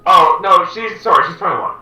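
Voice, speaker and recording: A man's voice: high-pitched, lively intonation, normal speed, over a noisy crowd. A man running a tabletop game as game master, talking hesitantly with many fillers. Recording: echoey room, mic far off.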